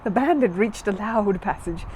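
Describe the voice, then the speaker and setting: A woman's voice: medium pitch, animated, normal speed, a little mellow, slight background noise. A woman narrating a children's story from a script as a storyteller.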